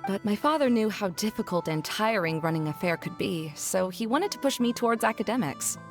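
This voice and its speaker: A woman's voice: medium pitch, animated, fairly quick, natural voice. An actress voicing a dejected, self-doubting young woman scholar in a video game cutscene, speaking in an earnest, confessional way. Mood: downcast, reflective. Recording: game music under the voice.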